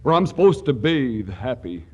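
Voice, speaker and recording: A vain, none-too-bright cowboy star in 1940s radio comedy. He grumbles in folksy, ungrammatical phrases. His medium-pitched voice rises and falls dramatically. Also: big pitch swings, moderate pace, dull audio. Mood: aggrieved.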